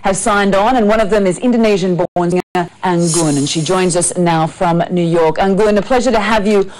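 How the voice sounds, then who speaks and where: A woman in her thirties presenting live TV news, reading briskly from a script and then asking loose questions. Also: on the low side, darkish tone, low-quality recording. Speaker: news anchor. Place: TV news studio.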